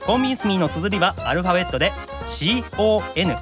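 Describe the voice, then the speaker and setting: A man with a Kansai accent hosting a podcast, chatting and reading out letters in a teasing, expressive way. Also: high voice, quick pace, loud music behind the voice. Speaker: podcast host and voice actor.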